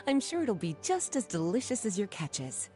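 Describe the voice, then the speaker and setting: An actress voicing a relaxed, teasing adult librarian witch with a smooth manner. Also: on the high side, slow pace, faint music behind. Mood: reassuring.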